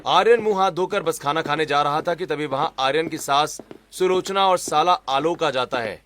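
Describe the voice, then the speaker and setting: A man's voice: a little high, normal speed, fairly bright tone, soft background music. A man giving scripted narration of a story as its narrator.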